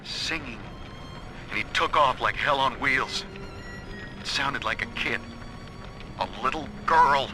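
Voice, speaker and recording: A man's voice: medium pitch, slow, pauses often, over music, lightly acted, rough recording. A man playing a desperate father searching for his kidnapped daughter in a game audio diary. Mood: troubled, intent.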